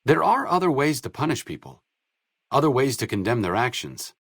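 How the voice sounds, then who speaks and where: An actor playing an idealistic, bookish wasteland doctor in a video game, articulate and wry in scripted dialogue. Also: male voice, mid-range voice, sing-song, moderate pace, a bit bright, slightly rough, dry recording, clean studio sound, heavily acted. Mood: earnest, resigned. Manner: articulate, wry, erudite wording.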